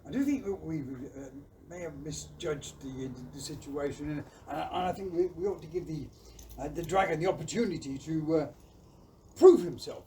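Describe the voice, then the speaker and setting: Guy as a nervous halting dad in audio play, highish soft-edged voice, far from mic. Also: natural voice. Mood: hesitant, earnest. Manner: stammering, halting. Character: hesitant, well-meaning village father. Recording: distant mic with background noise.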